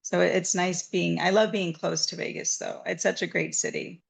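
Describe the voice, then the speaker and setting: Low, gravelly-voiced woman telling her story. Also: steady tone, normal speed. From Southern Nevada. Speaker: massage therapist, guest. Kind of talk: interview, personal storytelling.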